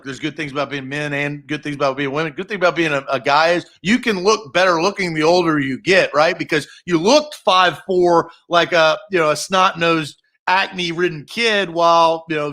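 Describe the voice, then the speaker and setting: Bright-voiced man in his thirties. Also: on the high side, normal speed, few pauses. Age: 30s. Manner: animated, playful, self-deprecating. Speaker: sports radio co-host. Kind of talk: casual sports talk banter.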